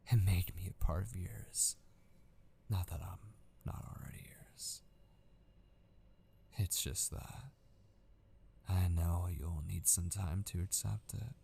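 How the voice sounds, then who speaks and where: A man acting an obsessive, possessive kidnapper in an intimate ASMR roleplay, patient and menacing, hushed and often slipping into a breathy whisper. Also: low voice, a little hiss or hum, exaggerated voice.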